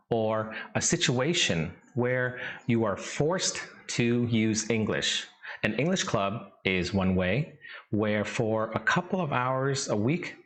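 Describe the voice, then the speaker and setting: A middle-aged man from Canada, an English teacher, giving a scripted lesson in a slow, measured, instructive way. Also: fairly low pitch, a bit bright.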